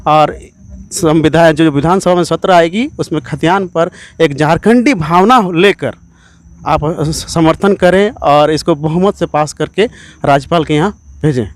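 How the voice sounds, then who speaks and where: A man's voice: fairly high pitch, measured pace. A man giving earnest, emphatic, repetitive political answers in an interview as an activist.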